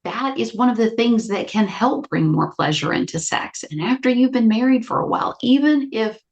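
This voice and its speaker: A woman clinical psychologist, a guest on a podcast, explaining her ideas in a lively, conversational way. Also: fairly high pitch, normal speed, vocal fry, muffled recording. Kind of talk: conversational interview.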